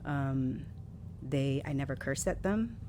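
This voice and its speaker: Poet guest, New woman, very low voice, candid and lively, faint noise behind. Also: slow pace. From New York City. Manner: candid, animated storytelling with frequent laughs. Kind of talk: casual interview conversation.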